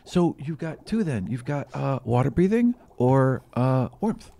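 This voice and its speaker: A man's voice: mid-range voice, very animated, unhurried, creaky, warm tone. A man narrating an improvised tabletop fantasy game as game master, in a casual way.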